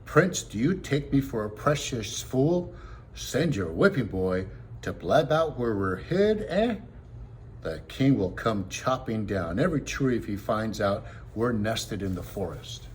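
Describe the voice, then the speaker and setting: A man voicing a big, hairy outlaw in a storybook, suspicious and blustering, with a rough, exclamatory way of talking. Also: fairly low pitch, big pitch swings, unhurried, a little hiss or hum. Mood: suspicious, gruff.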